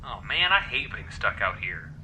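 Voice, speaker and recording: A man's voice: lowish voice, normal speed, slight background noise, full character voice, poor audio. A man playing a casual, gossipy, complaining young space crewman in an audio drama, with informal delivery. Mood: chatty, griping. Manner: informal, animated.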